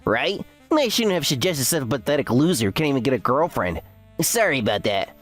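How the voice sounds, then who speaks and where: The smug campus underclassman who sneers at his seniors in an animated drama, low-voiced and swooping, acted by a woman, music underneath. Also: fairly quick, husky, exaggerated voice. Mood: smug, mocking. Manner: sneering, condescending. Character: smug, mocking young man, a university underclassman. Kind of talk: anime-style story dub acting.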